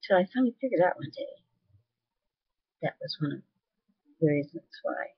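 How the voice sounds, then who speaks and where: Woman vlogger, loud voice, candid and earnest, husky tone, dry muffled webcam sound. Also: sits low, lively intonation, moderate pace, no echo. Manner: candid, earnest, sharing personal experience. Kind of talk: personal video talk.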